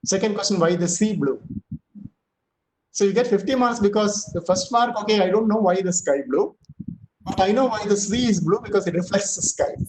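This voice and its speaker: High-voiced man giving a joking lecture. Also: a little mellow, roomy sound, slight background noise, low-quality recording. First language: not Tamil. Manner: chatty, joking asides with filler pauses. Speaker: physics professor. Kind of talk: online lecture.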